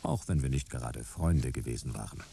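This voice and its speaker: An actor playing a sardonic, world-weary private detective who narrates a radio play with laconic, dry irony. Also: male voice, rumbling low, expressive, fairly quick, creaky, mellow, a little hiss or hum, exaggerated voice. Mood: dismissive. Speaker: first-person narrator.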